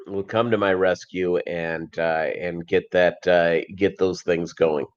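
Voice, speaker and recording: A middle-aged American man chatting casually and unscripted on a livestream, rambling and halting with a jokey manner. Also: low voice, steady tone. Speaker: fishkeeping livestream host and voiceover performer. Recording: dull lo-fi livestream audio.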